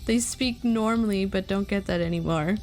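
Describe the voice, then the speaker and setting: A woman's voice: medium pitch, moderate pace, darkish tone, soft background music. A middle-aged woman from Canada hosting a casual streamer interview, chatty and giggly, reading chat messages aloud.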